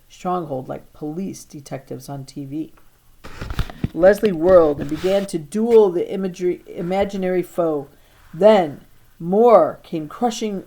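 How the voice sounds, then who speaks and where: A woman teacher reading a storybook aloud to her class, expressive, now and then stumbling and repeating a word. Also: fairly low pitch, rough recording. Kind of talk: storybook narration read aloud.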